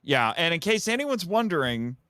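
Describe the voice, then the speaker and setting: A man's voice: on the high side, very animated, normal speed, bright, forceful tone, clear, resonant tone, no echo, studio quality. A man chatting casually about films as a podcast guest, opinionated and effusive, fond of superlatives. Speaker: podcast guest, kaiju film commentator. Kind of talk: casual film discussion.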